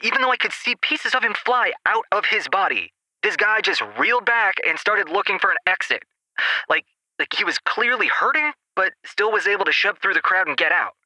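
An actor playing an excited, slangy clubgoer who tells a story as a caller on a radio show. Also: male voice, high-pitched, a little fast, no echo, full character voice, low-quality recording. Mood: excited, amazed. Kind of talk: caller acting in audio drama.

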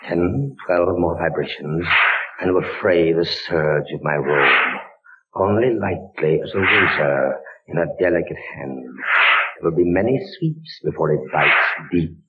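An actor in a 1940s radio horror drama playing a desperate, feverish captain imprisoned in a dungeon, theatrical and melodramatic. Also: male voice, low-pitched, measured pace. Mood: grim despair. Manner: theatrical, melodramatic, swinging from hushed dread to frantic cries. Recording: noisy muffled old radio recording.

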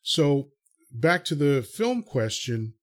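A man's voice: mid-range voice, sing-song, slow. New York man hosting a podcast. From New York City. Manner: explains at length with frequent fillers and rhetorical "right?". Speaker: podcast host. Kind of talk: casual conversation.

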